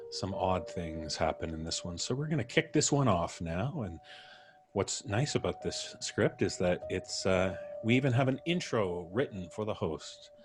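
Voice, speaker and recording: A man hosting a live stream in a casual, chatty, jokey way. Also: sits low. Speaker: podcast host.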